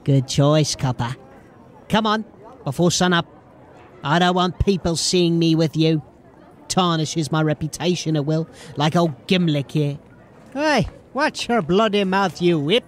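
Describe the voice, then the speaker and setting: Rough, high-pitched cocky gang henchman. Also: a bit bright. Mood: menacing. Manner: rough, slangy, taunting. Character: cocky, threatening male street-gang henchman. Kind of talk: fantasy audio drama acting.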